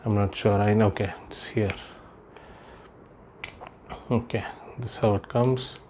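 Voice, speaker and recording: A man's voice: lowish voice, fairly even intonation, unhurried, a little mellow, a little hiss or hum. A man doing a casual unboxing vlog indoors, thinking aloud with lots of fillers as he handles the product. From India. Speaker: unboxing vlogger. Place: indoors, room with patterned floor rug.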